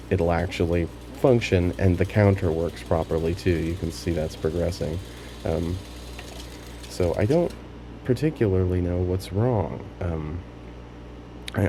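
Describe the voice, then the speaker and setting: A man with a very low voice talks casually through a hands-on demonstration. His explanations come out tentative and unscripted, halting as he works them out. There is clear noise throughout the recording. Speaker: hobbyist demonstrating a tape machine repair.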